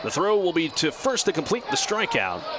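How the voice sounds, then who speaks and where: A man working as a radio play-by-play announcer, giving live baseball commentary in a measured, descriptive way that lifts with the action. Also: on the high side, wildly swooping pitch, a crowd in the background. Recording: clear outdoor ballpark background noise. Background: outdoor stadium ambience.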